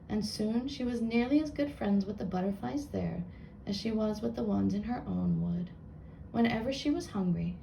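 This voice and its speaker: A woman reading a children's book aloud with expressive narration. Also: medium pitch, vocal fry, slight background noise, low-quality recording.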